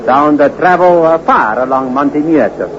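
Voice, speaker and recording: A wary mountain guide speaks broken English in a put-on Mexican Spanish accent, his pitch rising and falling with ominous warnings, as a man acts him out on radio. The old recording is dull and noticeably noisy. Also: animated, moderate pace, natural voice.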